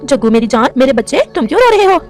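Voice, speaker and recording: Woman as a high-pitched, defiant crow mother. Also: sing-song, fast, soft background music. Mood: doting, worried. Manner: sharp, defensive, doting toward her child. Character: vengeful female crow mother, defiant, fiercely protective. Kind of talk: melodramatic Hindi cartoon story acting.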